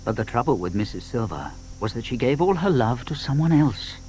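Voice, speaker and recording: A man narrating a dramatised children's story with expressive, measured phrasing. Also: medium pitch, a little hiss or hum.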